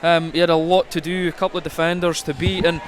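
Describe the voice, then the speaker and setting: A man's voice: fairly high pitch, little pitch movement, fast. A man giving conversational live rugby analysis as co-commentator, piecing his thoughts together as he goes. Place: rugby stadium. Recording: crowd noise behind live outdoor commentary. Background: stadium crowd.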